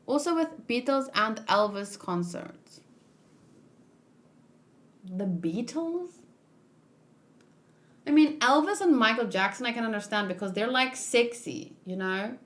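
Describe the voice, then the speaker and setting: A woman's voice: fairly high pitch, lively intonation, slow pace, long pauses, fairly bright tone. A woman streaming a casual manga read-along, musing aloud and chatting with viewers as she goes.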